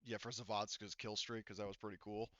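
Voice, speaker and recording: A man's voice: on the low side, flat delivery, fast, bright, forceful tone, dry recording, dull audio. A man acting as game master, talking casually through a tabletop roleplaying game.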